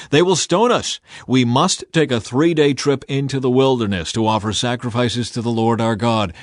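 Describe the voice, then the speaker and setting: A man's voice: mid-range voice, no echo, clean studio sound, close to an everyday voice. A man voicing a firm, earnest prophet in a scripture audiobook.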